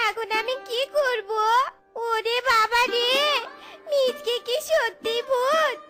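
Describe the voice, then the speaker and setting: A performer voicing an excitable fox wife in a cartoon, scolding and exclaiming in horrified wails, with music behind. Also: very high voice. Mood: anxious, bewildered. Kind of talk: cartoon voice acting.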